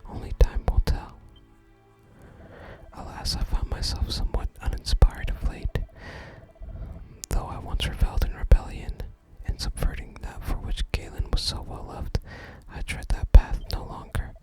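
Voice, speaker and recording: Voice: moderate pace, darkish tone, natural voice, low-quality recording. Whispering wistful fantasy bard, read by an ASMR gamer. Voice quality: unvoiced whisper. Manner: archaic, theatrical phrasing. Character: florid, wistful wandering male bard of fantasy game. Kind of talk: whispered ASMR reading of game dialogue.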